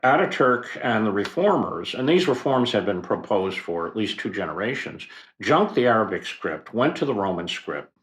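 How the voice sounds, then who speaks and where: An older man explaining history as a podcast guest, in a professorial, unhurried way with fillers and asides. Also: fairly low pitch, big pitch swings, a bit bright, reverberant. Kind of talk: expert explanation in a podcast interview.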